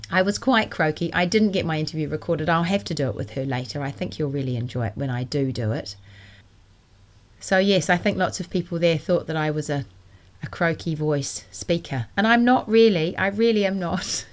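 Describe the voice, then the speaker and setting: A woman from New hosting a knitting podcast, chatting casually and enthusiastically, her voice still a little croaky from a cold. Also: sits low. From New Zealand. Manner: chatty and enthusiastic, self-deprecating. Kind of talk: casual solo podcast chat.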